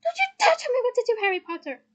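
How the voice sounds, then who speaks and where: A woman voicing a furious, shrill teenage witch who screeches and punches out her words, in an audiobook-style story reading. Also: very animated, very fast, a bit bright, exaggerated voice. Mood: enraged.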